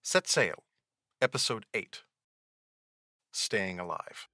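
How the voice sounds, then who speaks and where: A man's voice: fairly low pitch, animated, takes it slowly, dry recording, heavily acted. A man playing the dramatic storyteller of a pirate audio drama, delivering scripted narration. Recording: loud music under narration.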